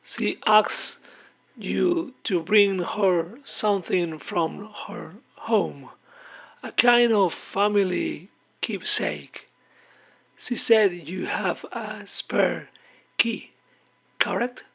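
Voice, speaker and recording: Man as solemn hospital official, horror drama: formal, measured, husky, thick vocal fry. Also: high voice, highs cut off, full character voice. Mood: grave, formal. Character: solemn hospital caller delivering urgent, grave news.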